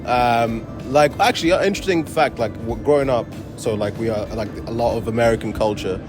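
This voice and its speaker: A man's voice: mid-range voice, brisk, over music. A young man with a mixed London accent, talking loosely with many fillers and laughs as a comedian guest. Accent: London English. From France, raised in Portugal and London. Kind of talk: casual interview chat.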